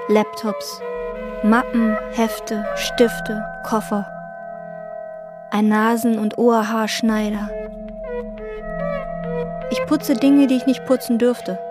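A woman's voice: a little high, natural voice. A woman as a brooding, obsessive chambermaid. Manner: slow, measured, introspective. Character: obsessive young hotel chambermaid, quiet loner. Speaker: narrator and lead character. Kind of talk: radio drama inner monologue.

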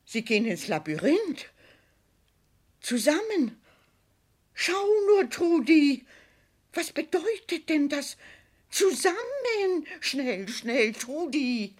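An actress playing a grand, patronising old widow in a German radio play, fussy and businesslike in manner. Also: female voice, high voice, very animated, takes it slowly, long pauses, full character voice. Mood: alarmed.

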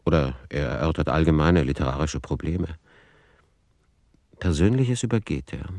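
Radio play author-commentator: low-pitched, sober, essayistic delivery in a dry studio sound. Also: male voice, normal speed, darkish tone, no echo. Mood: analytical, dry. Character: male author soberly commenting on his own manuscript.

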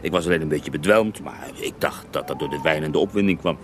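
A man playing the ghost of a murdered Russian mystic monk in a radio play, recounting his tale in an unhurried, wry, theatrical way. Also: rumbling low, soft background music. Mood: tense recounting. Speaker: interviewed storyteller in a radio play. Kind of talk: radio drama acting, intimate first-person recounting.

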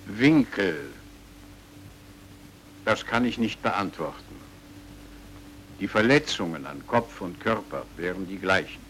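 A reserved doctor in a radio crime play. His voice is on the low side and swings widely in pitch, with clipped, evasive phrasing and faint noise behind it. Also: lively intonation, takes it slowly, pauses often, a bit bright, heavily acted, rough recording. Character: curt, guarded male doctor, evasive and formal. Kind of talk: German radio crime drama acting. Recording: old radio recording with background noise.

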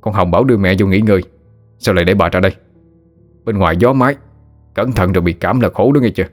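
A man voicing a stern older father who asks loudly and gruffly what the commotion is. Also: low voice, fast talker, soft background music. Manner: loud, gruff questioning.

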